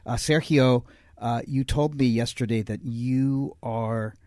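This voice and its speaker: A man hosting a radio interview, asking questions in a measured, polite way. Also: mid-range voice, fairly even intonation, a little mellow, no room sound, studio quality. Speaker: radio host.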